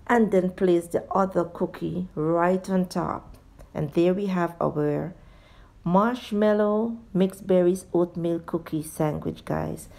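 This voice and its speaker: A woman's voice: lowish voice, steady tone, takes it slowly, gravelly, a little mellow. A woman giving a step-by-step cooking tutorial as a voice-over, addressing viewers directly as a home cooking host.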